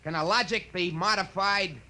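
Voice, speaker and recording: A man playing a brisk, wry, slangy company repairman in a 1950s radio drama. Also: sits high, pushed and bright. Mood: impatient. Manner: fast-thinking, slangy, wry. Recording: hissy old radio broadcast.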